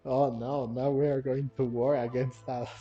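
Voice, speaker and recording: A man giving casual game playthrough commentary in a halting, repetitive, giggly way, on a lo-fi mic. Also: medium pitch, level tone, dark tone. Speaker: gaming video host. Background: faint game music.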